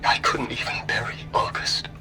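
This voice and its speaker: A man's voice: on the low side, fairly even intonation, normal speed, in a whisper, lightly acted. A man playing a secretive, grieving man confiding in an audio log for a game, brooding, with music behind. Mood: grieving, resolute.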